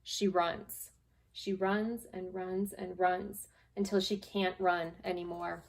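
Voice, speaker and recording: A woman reading and talking to young children as a guest storyteller, in simple, deliberate phrases. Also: fairly low pitch, level tone, gravelly, poor audio. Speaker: guest storyteller, school staff member. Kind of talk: children's story read-aloud and advice.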